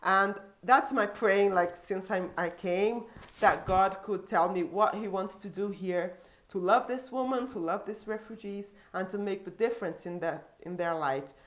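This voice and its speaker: Earnest Brazilian woman with halting English. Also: mid-range voice. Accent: Brazilian Portuguese. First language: Portuguese. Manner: earnest, halting, with many restarts. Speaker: missionary and social worker. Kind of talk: personal testimony.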